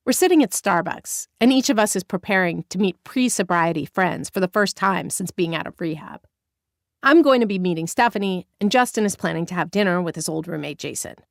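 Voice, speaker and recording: A woman from Northern California narrating her own memoir as an audiobook, candid and expressive, on a close studio mic. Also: on the low side, gravelly, no echo. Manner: expressive, candid first-person storytelling.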